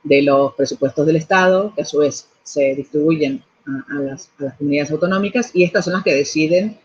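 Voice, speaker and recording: A woman's voice: low-pitched, fairly quick, breathy edge, dull audio. A middle-aged woman from Argentina with an Argentine Spanish accent, an architect answering interview questions thoughtfully, restarting phrases and adding tag questions. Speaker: architect and urban planner.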